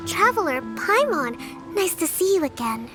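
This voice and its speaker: A woman voicing a gentle, bookish young priestess who leads, speaking politely and earnestly. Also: very high voice, sing-song, normal speed, music in the background. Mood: friendly.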